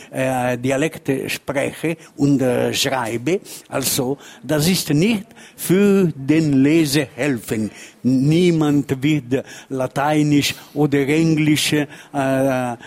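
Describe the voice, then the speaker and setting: An older man from Ticino with an Italian accent, speaking halting, imperfect German as a guest writer in a panel talk, searching for words. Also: mid-range voice, a little mellow. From Ticino, Switzerland. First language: Ticinese Lombard dialect.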